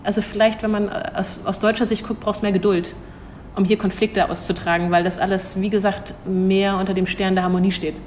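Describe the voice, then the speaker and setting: A woman's voice: medium pitch, little pitch movement, brisk, a little mellow, slight background noise. Thoughtful young woman, restarts often. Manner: thoughtful, hesitant with restarts, laughs easily. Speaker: cultural attaché at an embassy. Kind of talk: interview answers.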